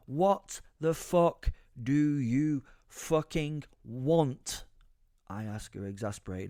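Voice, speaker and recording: A man's voice: a little high, darkish tone, dry recording, clean studio sound. A man playing a brooding, exasperated werewolf who tells his own story as theatrical first-person audio drama narration. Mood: furious exasperation. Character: brooding, foul-mouthed male werewolf, seething with helpless rage.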